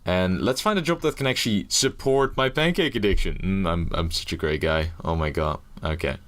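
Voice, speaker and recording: Man, fairly low voice, casual gaming chat, rambling, self-mocking jokes. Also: normal speed, a bit bright. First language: Dutch. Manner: rambling, jokey, swears casually; nervous first-video energy. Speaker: gaming YouTuber. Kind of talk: casual game commentary.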